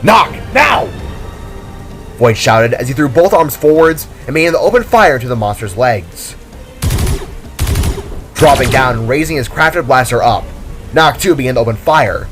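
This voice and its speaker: A man's voice: medium pitch, animated, moderate pace. A man reading scripted adventure fiction as the narrator, in a dramatic storytelling style. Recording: background music under the voice. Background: music.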